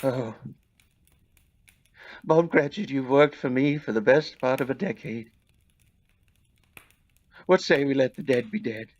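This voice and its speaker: A man playing an elderly reformed miser, halting, earnest and formally courteous, in a Victorian audio play. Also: mid-range voice, natural voice. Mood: warm, emotional. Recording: dull lo-fi recording.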